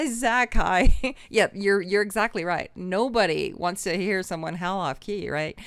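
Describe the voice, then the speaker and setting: A woman's voice: medium pitch, a little slow, clear, resonant tone, no room sound. A woman teaching a live singing lesson, chatting with viewers in an encouraging way and laughing easily.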